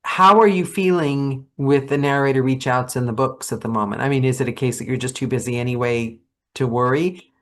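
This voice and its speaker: A podcast host and fellow audiobook narrator asking questions and telling stories in a relaxed, conversational way. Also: medium pitch, brisk. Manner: conversational, storytelling with asides. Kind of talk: casual interview chat.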